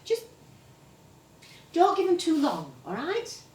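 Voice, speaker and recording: Blunt, fussing daughter. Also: female voice, extremely high pitch, huge pitch swings, slow, a little mellow, exaggerated voice. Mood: resigned, caring. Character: practical, protective, exasperated grown daughter of an elderly performer. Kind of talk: naturalistic stage play acting. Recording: roomy, distant stage recording, faint noise.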